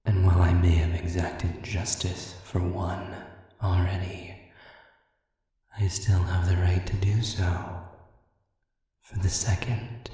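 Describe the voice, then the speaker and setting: A man's voice: sits low, level tone, slow pace, pauses often, mellow, very echoey, in a large hall, distant mic. A man voicing a sadistic, smug demon villain in an audio roleplay, drawling with theatrical mockery. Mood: smug menace.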